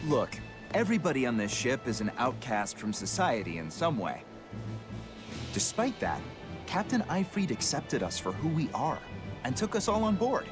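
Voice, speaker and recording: An actor playing a loyal, cheeky pirate crewman in a video game, chatty and expressive in an informal, colorful way. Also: medium pitch, normal speed, natural voice. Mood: cheeky, musing. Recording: game music behind the voice.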